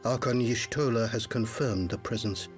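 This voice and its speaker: A man plays a dutiful soldier in a fantasy game. His mid-pitched voice is formal and earnest, delivering measured military reports over faint music. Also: close to an everyday voice.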